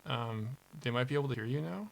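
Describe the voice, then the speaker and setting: A man's voice: medium pitch, fast, vocal fry, rough recording. A man hosting a charity livestream in casual chat, flustered and laughing as he troubleshoots his audio aloud.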